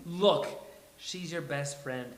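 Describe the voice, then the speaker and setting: A man's voice: on the high side, takes it slowly. A man playing a flamboyant, petulant, self-absorbed best friend in a live sitcom table read, with theatrical emphatic bursts. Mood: teasing, dismissive. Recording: roomy live venue with faint hiss.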